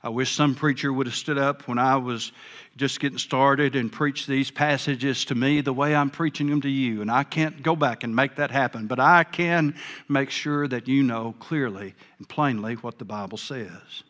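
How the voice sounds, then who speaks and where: A man's voice: mid-range voice, moderate pace, fairly bright tone. Older male pastor with a big voice. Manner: big voice, earnest and folksy. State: a bit hard of hearing. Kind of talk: sermon.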